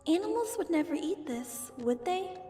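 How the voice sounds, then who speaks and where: A woman's voice: very high voice, expressive, normal speed, dark tone, faint music behind. A woman voicing a timid, frightened little pony filly in a small, childlike voice, pleading and hesitant in a fantasy audio story. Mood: uneasy.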